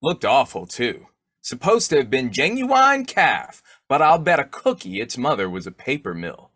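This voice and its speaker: Male actor as folksy, wheedling comic radio husband; very lively intonation, close dry sound. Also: mid-range voice, moderate pace, husky, no echo. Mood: sly, grumbling. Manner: folksy, exclamatory, chuckling delivery. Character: boyish, wheedling, wisecracking husband of old-time radio comedy. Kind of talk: 1940s radio comedy acting.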